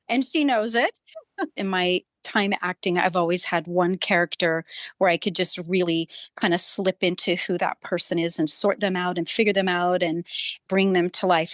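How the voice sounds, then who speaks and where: A woman, an actor chatting casually and candidly in an interview, quick to joke. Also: lowish voice, no echo. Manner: jokey, candid. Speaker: actor, guest.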